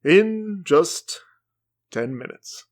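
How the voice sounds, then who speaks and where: A man playing an earnest, protective soldier in a fantasy audio drama, often soft-spoken. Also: on the high side, animated, extremely slow. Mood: firm.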